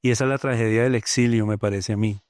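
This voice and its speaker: A middle-aged poet from Colombia with a Bogotá accent, speaking thoughtfully in an interview, often pausing with fillers. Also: male voice, fairly low pitch, steady tone, a little mellow, clean tone, no echo, studio quality. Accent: Bogotá Colombian Spanish. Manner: thoughtful, many hesitant fillers. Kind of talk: reflective interview talk.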